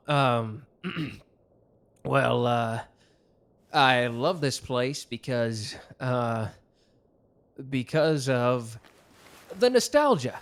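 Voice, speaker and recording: Man playing a young male love interest, medium pitch, casual, intimate, teasing, bashful, romantic audio roleplay. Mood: flustered, bashful.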